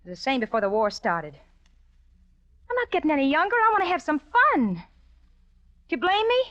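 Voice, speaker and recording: Scheming American femme fatale. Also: female voice, sits high, big pitch swings, normal speed, fairly bright tone. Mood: petulant, restless. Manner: insistent, goading, melodramatic. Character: restless, manipulative femme fatale, an unhappy wife. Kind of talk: old-time radio suspense acting. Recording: dull, noisy vintage radio recording.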